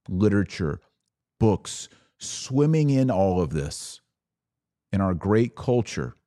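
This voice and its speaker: A man's voice: deep voice, mellow, no echo, clean studio sound. A middle-aged American man hosting a podcast, giving an opinionated monologue in emphatic, short punchy phrases. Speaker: podcast host.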